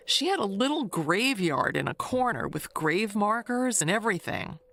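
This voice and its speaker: An actress plays a chatty neighbor woman in an audio drama. She gossips confidingly and rambles at an unhurried pace, her pitch rising and falling freely. There is background noise on the recording. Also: creaky, a bit bright, heavily acted. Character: chatty, gossipy woman neighbor reminiscing about the previous owners. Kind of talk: audio drama monologue, conversational storytelling.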